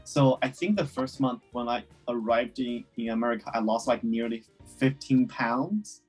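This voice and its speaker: A man's voice: mid-range voice, moderate pace, husky, faint music behind. A man in his twenties from China, Chinese his first language, answering interview questions casually with many fillers. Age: 20s. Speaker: guest.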